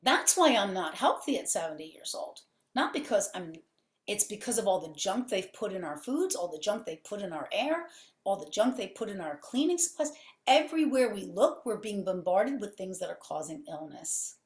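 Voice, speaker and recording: A woman's voice: medium pitch, big pitch swings, moderate pace. A woman guest on a podcast who runs a healing center. She speaks fervently and with emphasis, piling up repeated phrases. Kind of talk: conversational interview. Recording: roomy echo.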